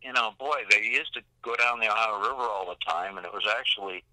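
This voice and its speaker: An older man tells his own story casually and haltingly. His voice is low but very sharp and forward, and the sound is dry, with little room on it. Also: no room sound, low-quality recording. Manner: halting, unhurried recollection. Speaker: expedition veteran being interviewed. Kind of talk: casual reminiscence.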